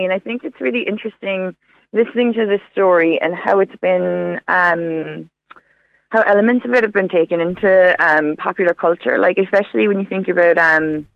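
A woman's voice: lowish voice, creaky, dry recording. A woman chatting casually and enthusiastically as a radio interview guest over a dull phone line, sprinkling her talk with "like". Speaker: radio interview guest, Irish studies academic. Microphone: phone call.

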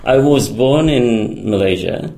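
A man speaks in a very deep, heavily gravelly voice. He talks slowly, pausing often with ums and restarting his sentences as a radio interview guest. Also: expressive, dark tone, rough recording. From Singapore. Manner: hesitant, many fillers and restarts; laughs often. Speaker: guest, electronics engineer.

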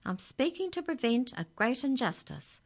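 A woman voicing a small talking budgie in a storybook, high-pitched, earnest and insistent. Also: big pitch swings, moderate pace, full character voice. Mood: agitated, indignant. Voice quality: high-pitched, squeaky cry. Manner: agitated, insistent.

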